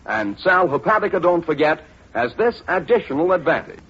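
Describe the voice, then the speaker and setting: A man's voice: a little high, very animated, normal speed, fairly bright tone, slightly rough. A man reading a scripted 1940s radio advertisement as an announcer, polished and emphatic. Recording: muffled vintage radio, faint noise.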